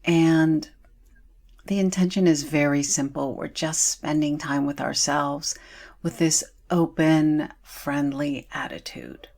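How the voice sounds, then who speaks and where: A woman with a low voice guides a meditation. She speaks extremely slowly and soothingly. Long pauses separate her short phrases. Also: steady tone, creaky, darkish tone.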